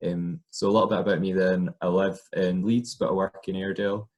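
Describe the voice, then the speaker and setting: A man's voice: deep voice, fairly quick, muffled recording. A young Scottish man, an occupational therapist, talking casually about his career with plenty of ums and uhs. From Scotland. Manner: relaxed, many ums and uhs. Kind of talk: informal talk to camera.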